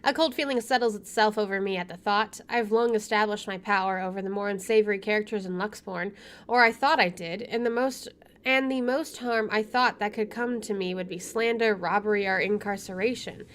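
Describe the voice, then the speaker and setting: A woman's voice: medium pitch, fairly even intonation, brisk, fairly bright tone. A woman streaming a visual novel, reading its text aloud and dropping in casual, wry asides. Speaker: video game streamer.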